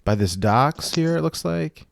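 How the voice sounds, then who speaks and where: A man's voice: a little high, animated, unhurried, creaky, no room sound, clean studio sound. A man co-hosting a gaming podcast, chiming in eagerly during a casual chat. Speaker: podcast host.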